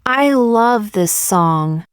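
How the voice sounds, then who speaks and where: A woman reading short practice sentences aloud for learners, saying each one twice, slowly and carefully. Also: medium pitch, animated, clear, resonant tone, no echo, clean studio sound. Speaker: English teacher.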